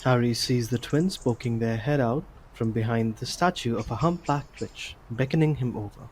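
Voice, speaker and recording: A man's voice: mid-range voice, normal speed, a little hiss or hum. A man narrating a scripted story for an audio drama.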